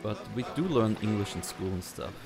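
A man streams a game and rambles on casually, stacking up fillers as he talks to viewers. His voice is low and gravelly. Faint game music plays behind him. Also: brisk. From Austria. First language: German. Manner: rambling, chatty, many fillers. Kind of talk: casual let's play commentary.

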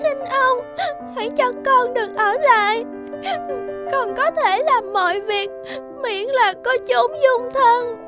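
A woman voicing a vain, spoiled little girl in a cartoon fairy tale, childlike, whiny and theatrical. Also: slow. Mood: remorseful, pleading. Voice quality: childlike, high and bright. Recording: music behind the voice.

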